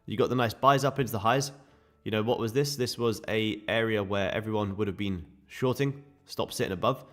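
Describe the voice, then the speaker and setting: A man with a sore, croaky throat, casually walking viewers through live trading charts. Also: on the low side, fairly quick, a bit bright. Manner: casual, chatty explaining to viewers. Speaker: day trading streamer.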